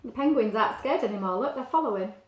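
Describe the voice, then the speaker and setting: A woman with a slightly high voice reads a picture book aloud to small children in a roomy, echoing space. She explains things in simple words and adds chatty asides and questions as she goes. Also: animated, fast. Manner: simple explanatory talk addressed to young children. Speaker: story reader for young children. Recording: echoey, roomy sound.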